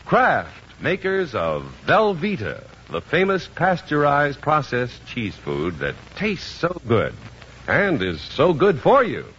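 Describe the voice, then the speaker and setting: A man's voice: on the high side, huge pitch swings, slow, fairly bright tone, a little hiss or hum. Wildly swooping 1950s radio announcer, male. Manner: polished, emphatic salesman delivery. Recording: dull 1950s radio recording with music.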